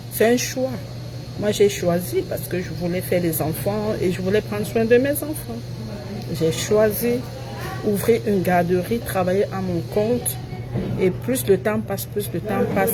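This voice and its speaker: A woman speaks over very loud crowd-like chatter, at a middle pitch. She delivers a casual live-stream monologue in an emphatic, declamatory way, often repeating phrases for weight. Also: low-quality recording. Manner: self-assured, declamatory.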